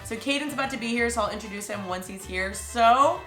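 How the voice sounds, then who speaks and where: A woman's voice: fairly high pitch, fairly quick, roomy sound, music in the background. A woman hosting her own podcast in casual chat, bubbly and full of slang, laughing often. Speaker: podcast host.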